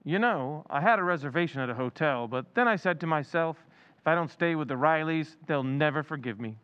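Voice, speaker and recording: A man's voice: fairly high pitch, animated, fairly quick, clean tone, lightly acted. Guy doing smug chummy freeloader, old radio comedy, echoey hall, kinda muffled. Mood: breezy. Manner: glib, familiar, exclamatory. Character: brash, self-satisfied male freeloading house guest. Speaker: radio drama actor. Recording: muffled, long hall reverb.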